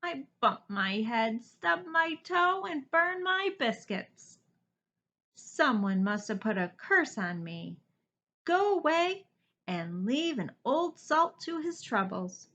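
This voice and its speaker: A woman's voice: high-pitched, big pitch swings, slow, sharp tone, highs cut off, voice pushed up, heavily acted. A woman voicing a weary, self-pitying old male lizard captain in a children's storybook, sighing and groaning. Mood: miserable.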